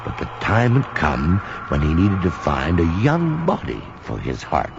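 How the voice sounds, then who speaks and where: Creepy melodramatic horror tale teller, gravelly, huge swings, muffled recording with music. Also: male voice, low voice, huge pitch swings, noisy background, full character voice. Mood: suspenseful. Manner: theatrical, halting repetitions for suspense. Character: haunted old storyteller telling a ghoulish horror tale, melodramatic. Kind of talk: dramatic horror storytelling. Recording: dull recording under loud music.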